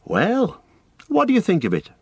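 A man voicing a cheerful, bossy young male hobbit who gives brisk orders in a raised, lively voice. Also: high voice, slightly rough, dry recording, pitched above the performer's own voice, exaggerated voice. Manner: brisk, commanding, exclaiming.

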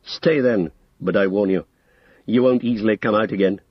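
A man's voice: on the low side, moderate pace, breathy edge, no echo, dull audio. A man voicing a stern, weary old male wizard who speaks with commanding authority in an audiobook story.

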